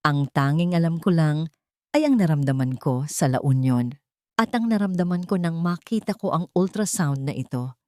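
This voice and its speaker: Lovestruck CEO played by a woman, heartfelt and pleading, lowish voice, romance audio drama. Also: expressive, moderate pace, a little mellow, dry recording, studio quality. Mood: pleading, emotional. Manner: earnest, emotional pleading.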